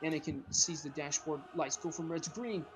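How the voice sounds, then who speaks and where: A man's voice: on the high side, brisk. A man reading screenplay directions aloud as the narrator of a group script reading.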